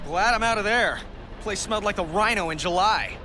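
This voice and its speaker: A man's voice: sits high, fairly quick, background noise, lightly acted. An actor playing a young, wisecracking video game superhero with a quippy, sarcastic manner, anxious and devoted to his loved ones. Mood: urgent, exasperated.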